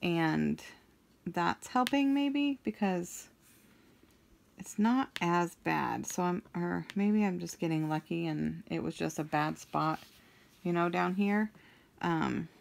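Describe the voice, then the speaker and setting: A young woman with a deep, fairly monotone voice chats slowly through her crafting session, trailing off into ums and loose asides. Her low voice carries a gravelly edge, and she takes her time between thoughts. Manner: slow, meandering, many ums and trailing thoughts. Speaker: craft vlogger. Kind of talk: rambling chat while crafting.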